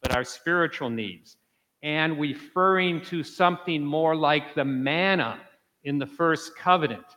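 A man preaching a teaching homily at Mass, explaining slowly and correcting himself as he goes. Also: fairly high pitch, pushed and bright, long reverb tail, low-quality recording. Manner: slow, explanatory, self-correcting with light laughs. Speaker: priest. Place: church.

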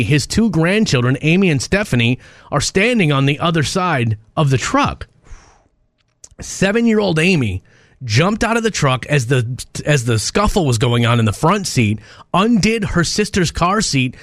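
Higher-voiced man, casual radio host. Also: no echo, clean studio sound. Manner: informal, conversational storytelling. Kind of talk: casual radio talk, telling a news story.

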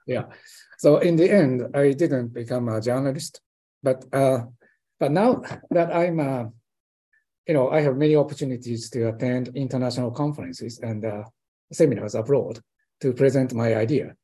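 A man's voice: medium pitch, normal speed, warm tone, slightly rough. An older man with a Japanese accent, a professor answering interview questions hesitantly, with many fillers and restarts. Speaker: guest professor.

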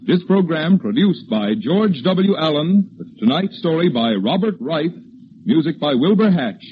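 High-pitched, animated 1940s radio announcer man. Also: a little slow, slight background noise. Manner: formal, polished, emphatic. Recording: muffled old radio broadcast with music.

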